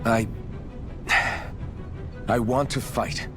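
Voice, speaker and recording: Young rebel sword hero from a game, earnest n resolute, medium pitch, music under it. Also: male voice, fairly even intonation, very slow. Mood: grave, hesitant. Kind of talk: video game cutscene acting. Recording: music behind the voice.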